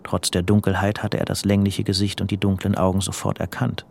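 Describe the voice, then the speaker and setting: A man's voice: deep voice, monotone, brisk, vocal fry, a little mellow. A man narrating a literary radio drama from a script.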